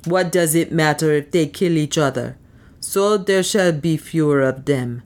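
A man's voice: on the high side, a little slow, lightly acted. A woman voicing a blunt, callous male servant in an audiobook, complaining and grumbling. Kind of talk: audiobook character speech.

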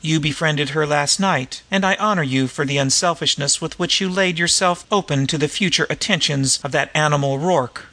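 Man playing a bright-voiced, suave gentleman adventurer. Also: fairly high pitch. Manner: grave, formal, imperturbable. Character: suave, unflappable gentleman adventurer, courteous and formal.

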